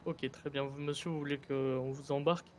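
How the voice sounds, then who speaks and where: A man's voice: mid-range voice, level tone, a little fast. A man role-playing a sheriff in an online game, giving formal, authoritative orders. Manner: formal, curt, authoritative commands. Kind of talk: improvised law-enforcement role-play. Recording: faintly noisy gaming voice chat.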